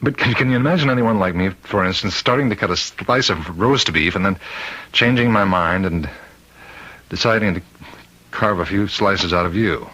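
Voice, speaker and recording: An actor playing a respected prison warden who is growing uneasy, halting and brooding, in an old-time radio mystery. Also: male voice, sits low, sharp tone, low-quality recording. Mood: entranced, dark. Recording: vintage radio drama recording.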